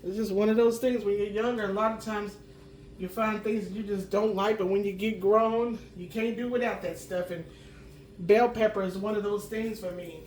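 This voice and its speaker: A woman, slightly hoarse from a cold, chatting homily as she cooks and clearing her throat now and then. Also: medium pitch, fairly even intonation, normal speed, darkish tone, a little hiss or hum. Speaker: home cooking channel host. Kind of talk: casual cooking chat. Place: home kitchen. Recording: roomy kitchen sound from a distant mic.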